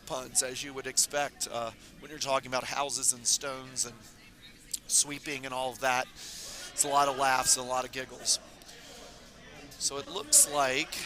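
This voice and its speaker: Raspy-voiced man doing curling commentary. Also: mid-range voice. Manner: relaxed, rambling, many fillers. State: hoarse from talking all weekend. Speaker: curling club stream commentator. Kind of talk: casual live sports commentary. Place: curling club.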